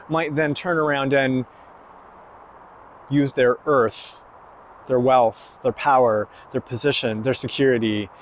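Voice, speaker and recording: A man preaching a sermon slowly and emphatically, pausing between phrases. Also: medium pitch, slight background noise. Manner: slow, emphatic preaching with long pauses.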